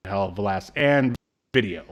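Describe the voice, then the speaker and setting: A man's voice: fairly low pitch, lively intonation, normal speed. A man in his thirties co-hosting an informal review chat, talking in a casual, joking way. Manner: jokey, informal. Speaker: podcast co-host. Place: indoor room, on a video call.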